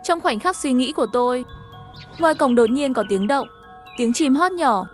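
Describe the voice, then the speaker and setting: A woman's voice: high-pitched, lively intonation, moderate pace. A woman reading a scripted first-person story as its narrator, with music behind her.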